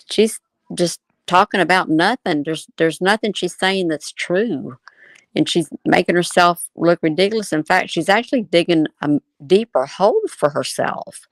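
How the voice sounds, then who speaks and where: A woman with a fairly low voice delivers casual reaction commentary. She circles back over her points and poses rhetorical questions, in a dry recording with no room sound. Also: no room sound. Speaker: video commentator.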